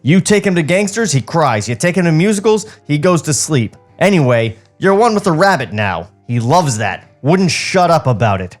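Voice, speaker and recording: A man's voice: on the high side, sing-song, normal speed, hardly any pauses, pitched above the performer's own voice. A man plays a middle-aged king of a Hollywood lot in a comedy script read. He is brusque and chatty, steamrolling ahead in a raised voice. Music sits behind him. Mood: brisk, blustering. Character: brusque, fast-talking 48-year-old male Hollywood studio boss.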